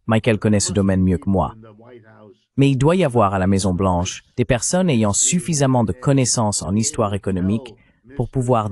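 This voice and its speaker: Ai guy voice doing dubbed political commentary, kinda low, explains things with rhetorical flourishes. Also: a little mellow. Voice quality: synthetic AI voice. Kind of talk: translated voice-over of a panel discussion.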